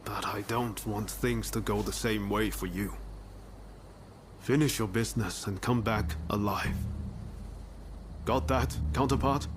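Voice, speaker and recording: A man's voice: lowish voice, steady tone, unhurried, pauses often, music in the background, lightly acted. An actor playing a hardened, loyal swordsman comrade in a video game, earnest and plain-spoken. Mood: earnest, encouraging. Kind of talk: dramatic video game cutscene acting.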